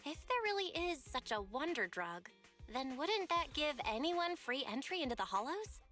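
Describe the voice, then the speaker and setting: An actress playing a perky, inquisitive young woman in a video game, with an expressive, questioning manner. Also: high voice, moderate pace, faint music behind. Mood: skeptical. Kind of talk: scripted video game voice acting.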